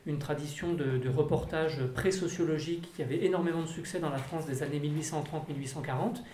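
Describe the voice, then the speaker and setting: A panelist giving a measured, lecture-like answer at a round table, with a few fillers. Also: medium pitch, a little mellow, a little hiss or hum. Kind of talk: round-table discussion, explanatory. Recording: echoey room, faint ambience.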